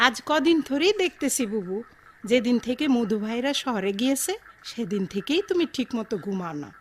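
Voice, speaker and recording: An actress as a loyal village woman in an audio drama, fretting bluntly in a rural Bangladeshi Bengali accent. Her highish voice moves expressively, with clear noise behind it. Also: very animated, moderate pace. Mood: concerned, scolding. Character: concerned, scolding village woman, loyal household companion.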